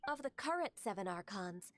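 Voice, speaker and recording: A woman voicing a polite, gentle, wistful half-human immortal secretary in an anime video game. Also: medium pitch, sing-song, moderate pace, no echo, close to an everyday voice. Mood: solemn. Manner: polite, soft-spoken, hesitant.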